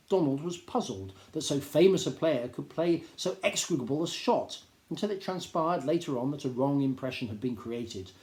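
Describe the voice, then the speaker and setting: Guy reading a story out loud, very up and down voice, dry humour, echoey room. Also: medium pitch. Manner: measured, drily humorous storytelling. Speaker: teacher reading aloud. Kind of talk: expressive literary narration. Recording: roomy, echoing indoor sound.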